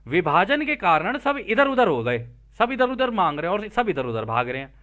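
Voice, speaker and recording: Bright-voiced, animated male spiritual teacher. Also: high voice, moderate pace. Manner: conversational, checks in with listeners using rhetorical questions. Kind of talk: reading aloud and explaining informally.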